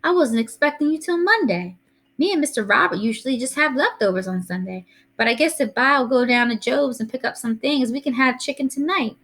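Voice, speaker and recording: A woman's voice: sits high, animated, brisk, fairly bright tone, voice pushed up, exaggerated voice. A woman voicing a small, feisty, loving old grandmother with a Southern US accent and a folksy, commanding manner in a storybook reading. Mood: brisk.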